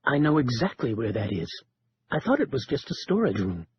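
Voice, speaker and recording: A man voicing a nervous, fussy male librarian who talks in whiny, excitable bursts. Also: mid-range voice, moderate pace, highs cut off, slight background noise, lightly acted. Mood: worried.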